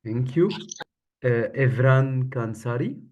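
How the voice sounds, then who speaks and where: A man's voice: medium pitch, slow, a little mellow, dull audio. A man moderating an online workshop, briefly and politely calling on participants over a video call.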